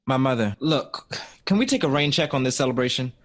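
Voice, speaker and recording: A man's voice: medium pitch, animated, a little fast, close to an everyday voice. A man playing a polite, hesitant, evasive young musician in a period audio drama. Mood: evasive, subdued. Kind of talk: period radio drama acting.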